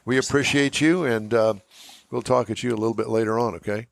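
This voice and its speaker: A man's voice: mid-range voice, steady tone, brisk, vocal fry, no room sound. An American man speaking as a radio show host, in a polished presenting style for hosting and sponsor reads.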